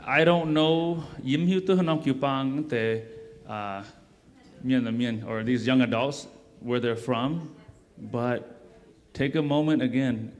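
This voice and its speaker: A man's voice: medium pitch, steady tone, takes it slowly, long reverb tail. A man leading a church service, speaking casually and encouragingly to the congregation and repeating himself often. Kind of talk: addressing a congregation.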